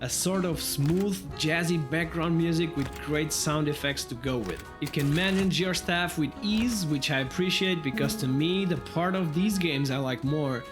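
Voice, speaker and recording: A man's voice: a little high, moderate pace, hardly any pauses. A man reviewing a video game in a scripted, comedic narration with a wry, tongue-in-cheek manner. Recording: music behind the voice. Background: background music.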